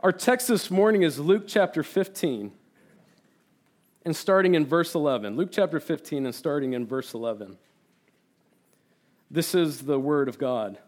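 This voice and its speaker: A man raised in Turkey with Turkish as his first language delivers a sermon as a guest preacher. His voice sits a touch high, and he talks earnestly and with animation. He doubles up words for emphasis and reads scripture aloud. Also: lively intonation, normal speed, pauses often.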